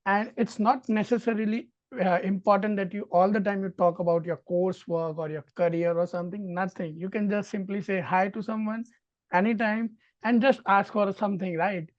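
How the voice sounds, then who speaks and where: An earnest woman giving halting advice on a student panel, often checking in with "right?". Her voice comes through dull, lo-fi video call audio. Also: medium pitch, steady tone.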